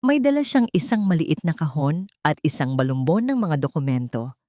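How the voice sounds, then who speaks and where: A woman with a fairly low voice narrates a romantic drama for an audio story. Her delivery is solemn and measured, and the sound is close and dry. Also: very animated, dark tone, no room sound.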